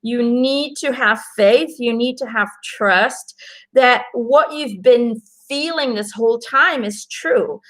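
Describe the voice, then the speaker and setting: Woman, Belgian accent, native Dutch speaker, slightly high voice, earnest, encouraging, casual card reading, lots of fillers. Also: fairly bright tone. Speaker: intuitive card reader.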